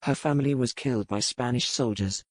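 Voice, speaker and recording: Guy doing a stern pirate captain for an audiobook, measured and formal, kinda weary, dry studio sound. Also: mid-range voice, no echo, lightly acted. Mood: grave, matter-of-fact. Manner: measured, formal, matter-of-fact. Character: stern, world-weary male pirate captain, cynical lover. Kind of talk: audiobook character dialogue.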